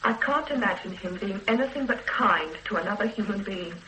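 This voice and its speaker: A loyal, prim secretary in a vintage radio drama, guarded under questioning. Her voice is bright and very animated. The recording sounds roomy. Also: mid-range voice, fairly quick, distant mic, slight background noise. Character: loyal, defensive middle-aged female secretary. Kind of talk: 1970s-80s radio drama acting.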